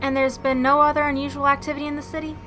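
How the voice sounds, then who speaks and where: A woman plays a confident young crime-fighting heroine in a radio drama. Her voice is high and crisp-edged with brightness, and her delivery is brisk and matter-of-fact over music. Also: little pitch movement. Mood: focused. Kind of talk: radio drama acting and scripted narration.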